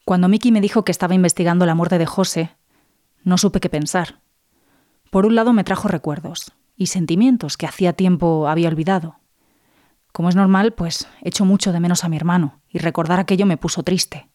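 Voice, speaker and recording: A woman's voice: lowish voice, brisk, mellow, lightly acted. A woman playing a murder victim's earnest, grieving sister, giving documentary-style testimony and insisting on justice. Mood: wistful, doubtful.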